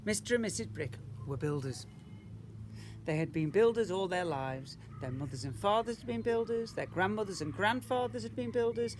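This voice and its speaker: A man's voice: high-pitched, animated, normal speed, background noise. A man reading a picture book to young children as a teacher, emphatically, with rhetorical questions and asides. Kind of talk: animated picture-book storytelling.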